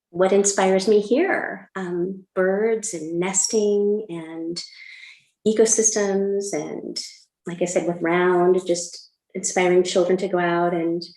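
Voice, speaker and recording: A woman's voice: mid-range voice, a little mellow. A middle-aged woman with an American accent talks conversationally as a guest author, answering interview questions about her work. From Illinois, United States. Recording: roomy, echoing room sound.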